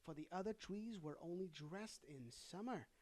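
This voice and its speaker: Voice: mid-range voice, expressive, moderate pace, a little mellow, lightly acted. Storyteller as pompous, boastful storybook matchsticks. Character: proud, boastful wooden matches nostalgic for noble youth.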